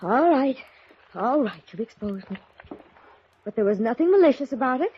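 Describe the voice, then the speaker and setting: Grand phony seance lady, dramatic swoopy voice, bit husky, old radio play. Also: fairly high pitch, animated, moderate pace. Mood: defensive. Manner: theatrical, lofty. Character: grandiose, indignant fraudulent female spirit medium. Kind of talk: 1950s radio drama acting. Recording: muffled old radio recording.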